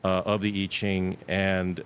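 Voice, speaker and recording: A man's voice: deep voice, unhurried, slight background noise. A middle-aged man who grew up in Queens, New York, telling rambling anecdotes as a guest in a casual interview. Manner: rambling, self-correcting, animated anecdotes. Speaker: guest, app developer.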